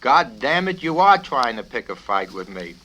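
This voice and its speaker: A man reading a satirical novel, voicing a bullying, foul-mouthed military colonel who blusters and shouts his questions. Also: medium pitch, big pitch swings, moderate pace, pitched above the performer's own voice. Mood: furious. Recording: noisy 1970s vinyl record with clicks.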